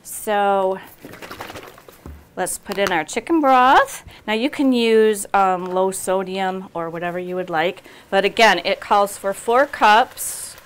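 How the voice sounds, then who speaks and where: A middle-aged woman walks viewers through a recipe in a home kitchen, talking slowly and casually. She fills pauses with ums and starts sentences over. A faint hiss sits under her voice. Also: medium pitch. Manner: chatty, unhurried, many ums and restarts. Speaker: cooking show host. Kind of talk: casual cooking demonstration.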